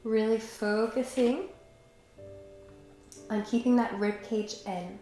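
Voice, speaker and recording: A young woman with a slight cold, calmly and encouragingly guiding a Pilates workout while she does the moves herself. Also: on the high side, slow pace, soft background music. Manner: calm, encouraging, talks while exercising. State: has a cold, slightly stuffy. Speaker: pilates instructor. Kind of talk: guided workout instruction. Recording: very echoey room, faint background noise.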